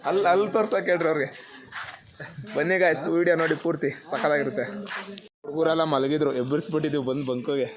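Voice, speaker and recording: Young male vlogger, slightly high voice, informal banter, noisy group of friends behind. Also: fairly even intonation, fast talker. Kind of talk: casual vlog chat with friends. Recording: loud chatter of people around. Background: group of friends talking.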